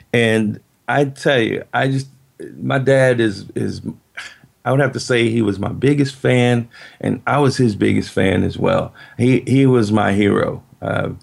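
Gravelly, husky middle-aged man, reflective. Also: on the low side. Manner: reflective, halting, many restarts. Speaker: guest. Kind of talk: casual interview chat.

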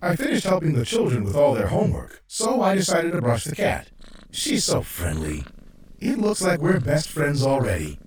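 A man's voice: fairly high pitch, wildly swooping pitch, moderate pace, a little mellow, echoey room, recorded from a distance, slight background noise. A man playing a cheerful, eager-to-please household drone robot in a children's audio drama, polite and helpful, with a processed robotic voice.

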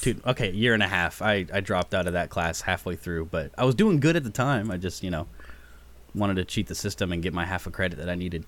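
A man hosting a casual podcast, upbeat and easygoing, with frequent ums. His voice sits a little low. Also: lively intonation, fairly quick, a bit bright. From United States. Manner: laid-back, upbeat, frequent fillers and laughs. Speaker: podcast host. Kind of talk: casual chat.

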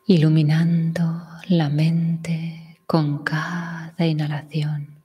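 Slow whispering woman meditation guide. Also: low-pitched, level tone. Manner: soft breathy whisper, slow pauses. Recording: long reverb.

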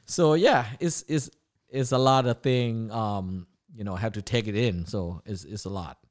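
A man's voice: lowish voice, measured pace. A man of about fifty from Thailand, with a Thai accent and non-native English grammar, chatting casually about himself as a guest. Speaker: podcast guest, chef. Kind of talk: casual autobiographical chat.

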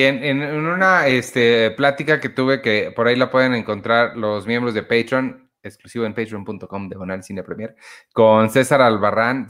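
A man hosts a relaxed podcast chat about movies, drifting along with fillers and restarts. His voice sits at an ordinary middle pitch. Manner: relaxed, chatty, filler-heavy. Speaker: podcast host. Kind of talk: casual film review chat.

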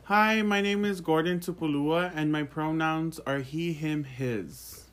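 A man's voice: on the high side, steady tone, measured pace, fairly bright tone. Young man from American Samoa, earnest interviewee. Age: young adult. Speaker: interview guest. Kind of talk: reflective personal interview answers.

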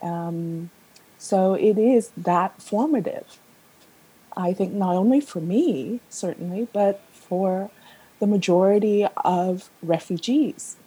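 A woman's voice: mid-range voice, slow pace, warm tone, slightly rough, poor audio. A woman in her fifties from Vietnam, Vietnamese her first language, answering a remote interview thoughtfully with many fillers. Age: 50s. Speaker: guest, novelist.

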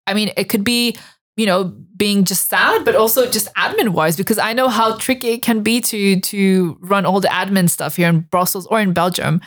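A woman's voice: mid-range voice, normal speed. A woman hosting a podcast, speaking conversationally as she introduces and questions guests. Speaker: podcast host.

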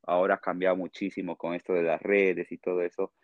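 A man with a Peruvian accent answering interview questions conversationally as a guest, explaining in a halting way and often checking with a short tag question. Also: sits low, little pitch movement, no echo, lo-fi sound. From Peru. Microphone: remote call.

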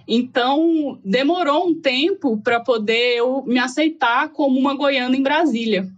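A woman's voice: sits high, a little slow. A woman from Goiás, Brazil, with a Goiás accent, telling her story in a casual, reflective conversation full of fillers. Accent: Goiás Brazilian Portuguese. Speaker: guest. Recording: dull lo-fi audio.

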